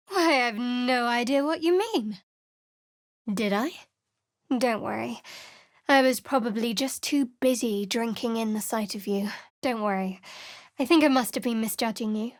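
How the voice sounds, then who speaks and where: Game role, female actor: guarded young woman cleric of a dark faith, wry and controlled, lighter pitch, very dry recording. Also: dry recording, close to an everyday voice. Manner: measured, wry, secretive. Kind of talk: video game character voice lines.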